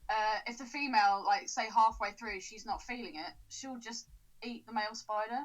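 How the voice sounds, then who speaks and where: A woman's voice: a little high, moderate pace, dull audio. A young woman co-hosting a podcast, brash and laughing often, swearing freely in casual chat. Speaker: podcast co-host.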